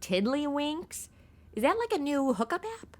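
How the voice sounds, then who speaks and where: A woman acting a modern young American woman with a sardonic, slangy manner. Her voice sits fairly high and moves around a lot, in the style of comic audio drama. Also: very animated, normal speed, a little mellow, a little hiss or hum. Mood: teasing. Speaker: show host.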